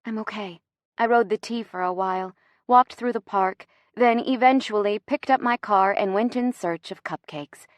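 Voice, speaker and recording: A woman's voice: medium pitch, moderate pace, no room sound, lightly acted. A woman voicing an impulsive, emotional young woman, the heroine of a romance story, with emphatic delivery. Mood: apologetic. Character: impulsive, dramatic, apologetic young heroine in love.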